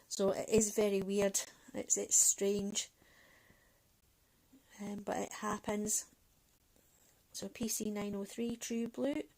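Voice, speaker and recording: Woman in her thirties, Mancunian with a Scottish lilt, rambling and chatty, mid-range pitch. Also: little pitch movement, takes it slowly, long pauses, darkish tone, poor audio. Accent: Mancunian English with a Scottish lilt. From England, Manchester. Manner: chatty, rambling, many fillers and restarts. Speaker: livestream host. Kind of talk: casual chat while colouring.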